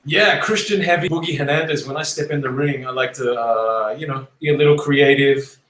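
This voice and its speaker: A man in his thirties who grew up in Australia, chatting easily as an interview guest. His voice sits at a middle pitch, and it rings in a roomy, echoey space. His talk is relaxed and a little self-deprecating, and he often pauses with an um. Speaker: guest boxer. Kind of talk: casual interview chat.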